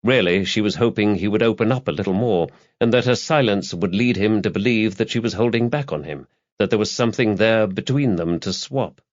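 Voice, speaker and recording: A man reading a crime novel as an audiobook narrator, with measured, dramatic storytelling. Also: fairly low pitch, highs cut off.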